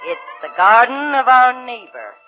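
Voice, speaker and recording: A woman's voice: fairly high pitch, sing-song, measured pace, faint music behind. An actress in a 1940s radio drama playing a wary, scornful Italian landlady, speaking with dramatic emphasis. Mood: sly, knowing. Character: wary, brusque Italian landlady in old Padua. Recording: dull, lo-fi 1940s radio recording.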